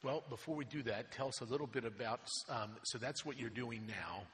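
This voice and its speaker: A man's voice: medium pitch, fairly quick, a bit bright. A man hosting a guest at church as pastor, affirming and asking questions. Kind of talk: church interview. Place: church sanctuary. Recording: reverberant church hall.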